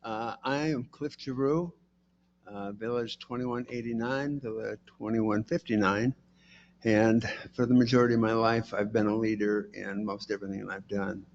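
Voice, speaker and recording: Soft-voiced man, low and flat. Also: dull audio, a little hiss or hum. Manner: halting, many "uh" and "um" fillers. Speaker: board candidate. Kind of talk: self-introduction speech.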